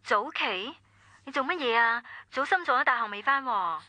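A woman's voice: fairly high pitch, animated, moderate pace, pushed and bright, dry recording. An actress with a Hong Kong Cantonese accent playing a composed, admonishing female relative taking a phone call in a radio drama. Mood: offhand.

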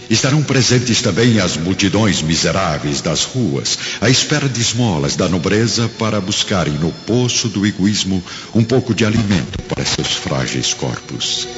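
A Brazilian man narrating a radio drama in a solemn, theatrical way, with weighty pauses. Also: sits low. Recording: dull lo-fi, long hall reverb. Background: prominent background music.

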